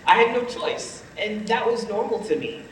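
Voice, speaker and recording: A higher-voiced man panelist in an echo. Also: lively intonation, moderate pace, fairly bright tone, slight background noise. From Nigeria. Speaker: panelist, pharmacist. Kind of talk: panel discussion, personal story. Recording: echoey hall, distant mic.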